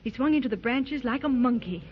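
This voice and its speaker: A woman's voice: a little high, expressive, a little fast, a little hiss or hum, lightly acted. A woman playing an earnest, devoted young daughter in a 1930s radio serial, breathless and eager, on a muffled old recording. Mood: anxious. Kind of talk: 1930s radio drama acting.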